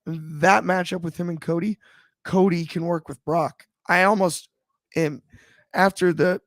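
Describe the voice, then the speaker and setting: A man with a slightly raspy voice, chatting about wrestling on a panel show in a thoughtful, halting way with many restarts. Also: high voice, fairly even intonation, no echo, clean studio sound. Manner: thoughtful, frequent restarts and fillers. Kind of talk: casual discussion.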